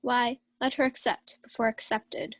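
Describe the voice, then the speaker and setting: A woman's voice: fairly high pitch, flat delivery, a little slow. A woman playing a blustering, hard-drinking uncle knight. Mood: defiant. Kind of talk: student Shakespeare radio acting.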